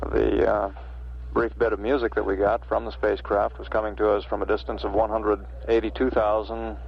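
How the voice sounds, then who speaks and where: An American man making live mission control announcements over old radio, matter-of-fact and halting, with many hesitations. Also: fairly low pitch, level tone, vocal fry. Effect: radio transmission. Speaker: mission control public affairs officer. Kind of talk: live spaceflight status announcement. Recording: dull, lo-fi archival radio transmission.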